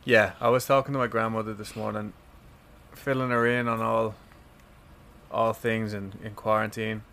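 A man's voice: on the low side, level tone, moderate pace, a bit bright, a little hiss or hum. A man with an Irish accent, co-hosting a podcast in casual, joking chat. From Ireland. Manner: joking, laughs easily. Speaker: podcast co-host.

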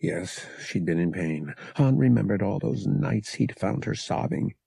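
A man narrating fiction as an audiobook reader, dramatic and expressive with measured phrasing. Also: deep voice, creaky, breathy edge, no room sound.

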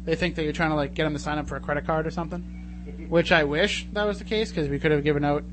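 A man's voice: a little high, fairly even intonation, very fast. A man hosting a live talk radio show in a casual, joking, chatty way. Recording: clearly noisy radio studio with hum.

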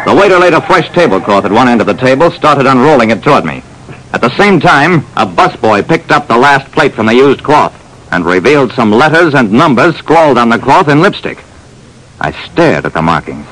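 A man playing a brisk, self-assured private detective who narrates an old radio drama. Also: medium pitch, sing-song, a bit bright, poor audio. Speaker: narrator and lead. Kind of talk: 1940s radio acting.

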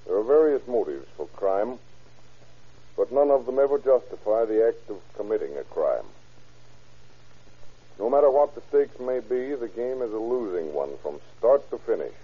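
A man's voice: mid-range voice, big pitch swings, moderate pace, slightly rough. A man speaking as a sheriff and guest, giving a stiff, earnest, formal scripted radio address. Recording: tinny, phone-like old radio recording.

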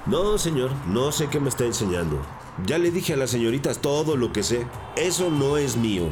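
Actor as shifty male bus driver, nervous, pleading, defensive, swooping pitch, crime audio drama. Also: expressive, moderate pace, over music, natural voice. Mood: feigned innocence. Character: nervous, evasive male school bus driver, a drug dealer.

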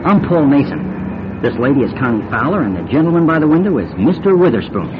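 An actor playing a cocky, flirtatious race driver in a radio drama, with a breezy, teasing manner. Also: male voice, on the high side, big pitch swings, fast talker. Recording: dull, faintly noisy old radio recording.

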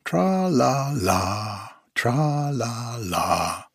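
A slow, simple bear , as a man reading aloud puts him on, hums in a lilting sing-song and muses aloud in a lifted, creaky voice. He drawls every word with husky warmth, close and dry. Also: on the high side, big pitch swings, dry recording, voice pushed up, exaggerated voice. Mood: humming. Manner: slow, sing-song humming, puzzled musing. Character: male bear, slow, simple, cheerful hummer.

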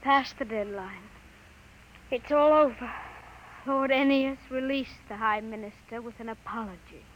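A woman's voice: fairly high pitch, measured pace, muffled recording, slight background noise, natural voice. An actress playing an anxious, pleading young woman in a 1950s radio drama.

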